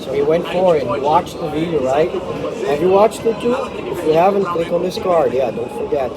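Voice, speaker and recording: Chatty man host in a loud crowd. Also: on the high side, expressive, normal speed. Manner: friendly, asks short check-in questions. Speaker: host, channel creator. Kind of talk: casual interview chat. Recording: loud crowd and street noise.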